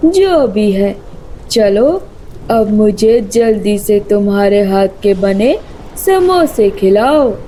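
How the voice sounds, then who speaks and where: A woman's voice: mid-range voice, big pitch swings, takes it slowly, dark tone, slight background noise, pitched below the performer's own voice, low-quality recording. A woman voicing a lazy, greedy male predator bird in a children's cartoon, musing and gloating with menace. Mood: menacing. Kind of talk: Hindi children's cartoon voice acting.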